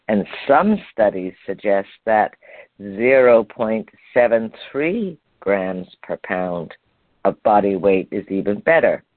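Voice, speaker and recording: A man's voice: fairly low pitch, dry recording. An older man from Canada hosting a health talk, reading aloud from an article and stumbling over hard words. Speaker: program host. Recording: tinny phone line.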